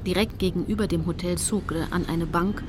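A woman's voice: lowish voice, steady tone, moderate pace, background noise, close to an everyday voice. An actress playing a determined female activist, recounting her campaign in composed, precise first-person narration for a radio play. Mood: composed narrating. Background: music.

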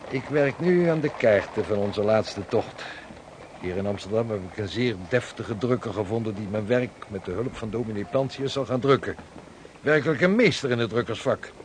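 Brief: male actor as a cheerful seafaring mapmaker, formal speech, clear street noise behind. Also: medium pitch, lightly acted. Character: hearty sea captain and mapmaker, adult man. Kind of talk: period radio drama acting.

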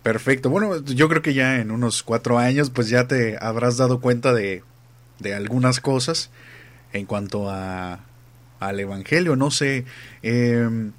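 A man interviewing a guest in a recorded online chat, relaxed and hesitant. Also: medium pitch, measured pace. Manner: relaxed, hesitant, many fillers.

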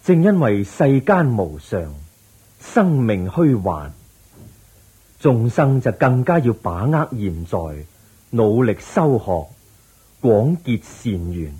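An actor playing a serene, wise Buddha in a Cantonese radio drama, teaching patiently and solemnly with a Hong Kong accent in drawn-out phrases. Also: male voice, medium pitch, sing-song, dark tone, breathy edge, exaggerated voice. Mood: grave, gentle.

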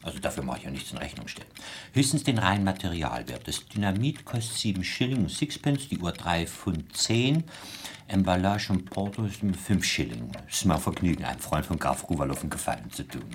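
A genial, boastful male anarchist craftsman in a radio play. His very deep voice rises and dips freely as he lingers over words with effusive courtesy. Clear noise sits behind the recording. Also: very animated, brisk. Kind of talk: German radio play acting.

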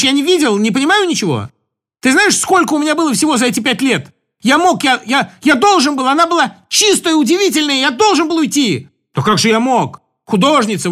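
A man's voice: sits high, fairly bright tone, heavily acted. A man voicing a hurt, resentful husband who pours out his grievances near tears. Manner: self-pitying, tearful outburst.

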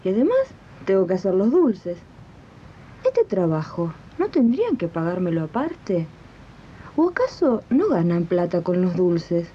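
Dull old tape of an animated Argentine housemaid, acted by a woman. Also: fairly low pitch, measured pace. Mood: brooding, doubtful. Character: indignant, determined housemaid who makes jam on the side. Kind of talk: radio drama dialogue and narration. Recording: dull, noisy late-1980s radio recording.

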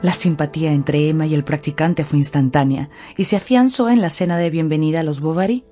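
A woman giving scripted, expressive narration for a radio novel. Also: deep voice, fairly quick, faint music behind. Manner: expressive storytelling. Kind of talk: scripted dramatized audiobook narration.

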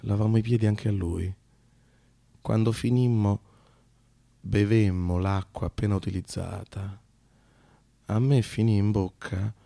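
A man delivering a theatrical radio monologue, moving between excited telling and hushed reflection. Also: fairly low pitch, vocal fry. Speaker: narrator and actor in a radio monologue. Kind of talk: theatrical first-person storytelling, radio monologue.

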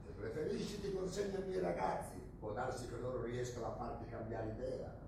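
A man's voice: medium pitch, gravelly, natural voice. A man playing a menacing interrogator, very echoey. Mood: cold, threatening. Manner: coarse, taunting, deliberate and threatening. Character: menacing male regime interrogator, cynical, coarse, coldly mocking. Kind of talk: live stage drama acting. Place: theatre stage, indoors. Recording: echoey, noisy theatre recording from far off.

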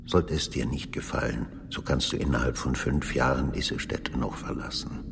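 Radio drama: stern old cleric, extremely deep, solemn, formal, in a long reverberant hall with background noise. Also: male voice, fairly even intonation, moderate pace, fairly bright tone, heavily acted. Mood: grave, examining. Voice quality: very deep, gravelly. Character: stern old convent superior, grave and formal. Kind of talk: literary radio drama acting.

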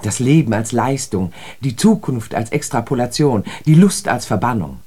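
Reflective city observer in a radio play, soft dark tone, lively melody, earnest and deliberate. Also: medium pitch, breathy edge. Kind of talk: literary German radio drama monologue.

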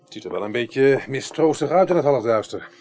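A man plays a methodical, courteous investigator in an eighties Dutch radio crime drama. His mid-pitched voice moves with animated intonation as he reasons things out deliberately and politely. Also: noisy background, lightly acted. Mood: inquiring, brisk.

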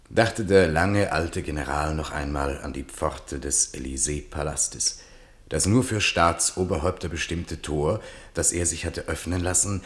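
A man reading scripted literary narration for a radio play in a solemn, rhetorical manner. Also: sits low.

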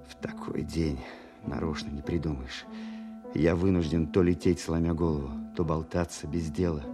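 An actor playing a proud young army captain and war veteran, lovestruck and resentful, in a measured, expressive audio drama performance. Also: male voice, deep voice, lightly acted. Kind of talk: Soviet-style audio drama, first-person narration and dialogue.

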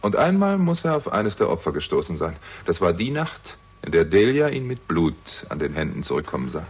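A shrewd young man investigating a crime in a 1960s radio play. His voice is low and husky, with lively swings in intonation. He presses his points in a probing, wry way. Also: a little fast, heavily acted. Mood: insistent, wry. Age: young adult. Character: shrewd, persistent young amateur sleuth confronting a suspect. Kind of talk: 1960s German radio crime drama acting.

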